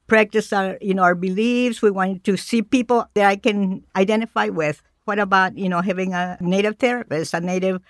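A middle-aged woman from Mexico speaking as a cultural educator in a radio interview, measured with frequent pauses. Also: mid-range voice, fairly even intonation, no room sound, studio quality.

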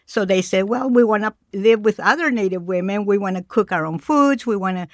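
Woman from Mexico, middle-aged, measured pace with fillers, dry close mic. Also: medium pitch, no echo. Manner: measured, with frequent pauses and fillers. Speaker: cultural educator. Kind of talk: radio interview.

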